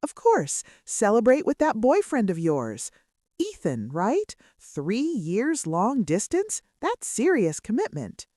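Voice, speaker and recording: A woman's voice: a little high, wildly swooping pitch, slow, mellow, no echo, studio quality, pitched above the performer's own voice, full character voice. A woman voicing a friendly, attentive young female colleague in an audiobook, chatty and solicitous.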